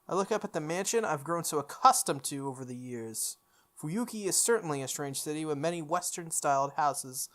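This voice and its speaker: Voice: mid-range voice, fairly bright tone. A video game playthrough host reading a visual novel aloud, breaking off into informal, exclamatory asides. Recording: music under the voice. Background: background music.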